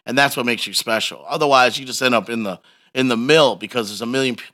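A male podcast host speaks in a bright, punchy voice at a middle pitch. He chats casually with a guest, using slang and leaning hard on his points. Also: fast. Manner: emphatic, slangy. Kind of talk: casual conversational interview.

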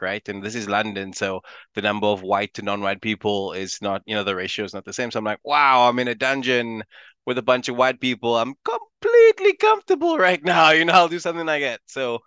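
A man's voice: fairly low pitch, a bit bright, smooth, no room sound. A man from South Africa with a South African accent, a stand-up comedian chatting casually and laughing often as an interview guest.

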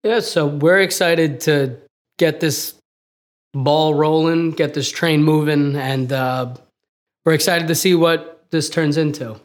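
A young man, a recent graduate, co-hosting a casual podcast in a relaxed way with many "you know"s. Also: a little high, slow. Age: young adult. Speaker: podcast co-host, junior architect. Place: office.

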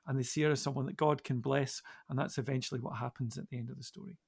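A man in a reflective Bible discussion, speaking in a measured, deliberate way with pauses. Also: medium pitch, fairly even intonation, gravelly, breathy edge. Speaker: podcast panellist.

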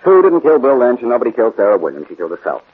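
A man's voice: medium pitch, sing-song, rapid-fire, breathy edge. A man playing a confident, wisecracking amateur detective in a 1940s radio crime drama, glib and pressing in his questioning. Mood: smug, cool. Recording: muffled narrow-band vintage 1940s radio recording.

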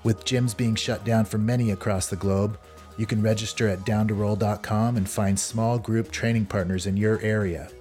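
Guy reading podcast sponsor spots, low mellow voice, faint music behind. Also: moderate pace. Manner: steady scripted delivery. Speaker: podcast host. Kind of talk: sponsor ad read.

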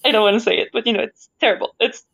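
A woman's voice: fairly high pitch, steady tone, racing, fairly bright tone, breathy edge. A woman from Canada, an opera singer interviewed as a guest, talks thoughtfully and searches for her words, with easy laughs. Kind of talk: reflective conversational interview. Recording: dull lo-fi sound.

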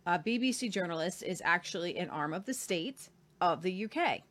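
A woman's voice: lowish voice, animated, normal speed, fairly bright tone. A woman hosting a news talk show, reading articles aloud with commentary and frequent "uh" fillers. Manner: reads steadily with frequent "uh" fillers.

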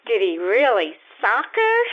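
A very high, bouncing voice of a cheeky kid sister played by a woman. She is blunt, pouty and eager, drawing out her lines in an old-time radio play with a muffled, faintly noisy sound. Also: huge pitch swings, a little slow. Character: cheeky young girl, outspoken kid sister. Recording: muffled, noisy live radio stage recording.

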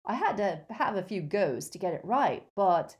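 A woman's voice: mid-range voice, big pitch swings, moderate pace, lightly acted. A woman voicing an easygoing teenage boy who speaks plainly and modestly. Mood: matter-of-fact. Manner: plain, matter-of-fact.

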